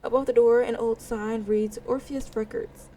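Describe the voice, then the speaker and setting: A woman's voice: a little high, steady tone, normal speed, mellow, a little hiss or hum. A woman narrating a visual novel in a let's play, reading expressively and dropping in casual side remarks. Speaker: gaming video creator reading a visual novel aloud.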